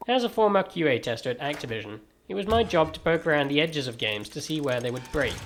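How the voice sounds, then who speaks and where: A man's voice: on the high side, animated, fast, a bit bright, a little hiss or hum. A man with a transatlantic accent narrating a scripted game review in a wry, dry-humoured manner.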